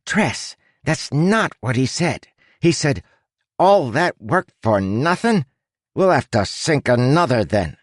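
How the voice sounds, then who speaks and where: A man's voice: medium pitch, big pitch swings, slow pace, dry recording, clean studio sound, voice pushed up. A man voicing a small, chatty male rat who is bossy, fussy and theatrical, in a storybook narration. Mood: exasperated. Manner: fussy, dramatic, exasperated.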